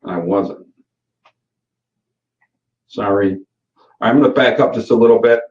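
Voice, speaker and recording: A man's voice: on the low side, unhurried, a little mellow. A man in his forties with a Michigan accent, hosting a live course Q&A in a rambling, folksy way full of fillers and self-corrections. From Michigan, USA. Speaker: course host. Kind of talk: casual live Q&A session. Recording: roomy, distant and muffled webinar audio.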